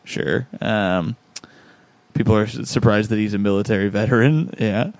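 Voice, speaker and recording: A man's voice: fairly low pitch, steady tone, moderate pace, dry recording. A man hosting a podcast, reading out listener comments in a laid-back, chuckling way. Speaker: podcast host.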